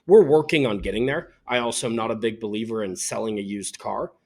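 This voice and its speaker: A man speaking as an interviewed company founder, explaining things in a candid, measured and articulate way. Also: lowish voice, gravelly, a little mellow. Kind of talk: explanatory business interview talk.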